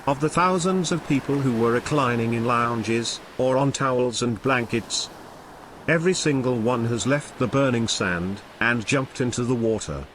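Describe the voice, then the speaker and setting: A man narrating as announcer, introducing an audio play in a measured, theatrical old-time radio style. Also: medium pitch, fairly bright tone, slight background noise. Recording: music behind the voice.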